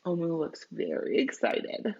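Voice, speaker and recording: A woman's voice: fairly low pitch, lively intonation, unhurried, creaky, a little mellow, dull audio. A woman teacher reading a picture book aloud to children, explaining words and often asking the young listeners questions directly. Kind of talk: read-aloud lesson with vocabulary explanations and questions to young viewers.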